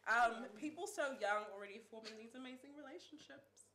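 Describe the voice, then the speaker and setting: A woman's voice: fairly high pitch, fairly quick, a crowd in the background. A young woman hosting a casual group chat in a living room, chatty and laughing often. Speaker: host. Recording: echoey room.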